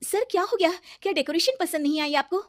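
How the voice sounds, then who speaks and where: A woman voicing a strong, self-reliant wife who is wronged and speaks firmly in an animated story. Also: very high voice, quick pace, dry recording, clean studio sound, voice pushed up. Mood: cool, pointed.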